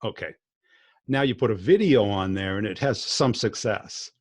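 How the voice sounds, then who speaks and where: A man's voice: on the low side, lively intonation, moderate pace, a little mellow, slightly rough. A man hosting a podcast, talking bluntly and plainly in casual conversation. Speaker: podcast host.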